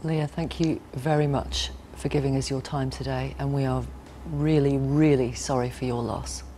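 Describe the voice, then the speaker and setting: A man's voice: medium pitch, fairly even intonation, moderate pace, warm tone. Guy doing a news interview, careful sympathetic questions, bit of noise behind him.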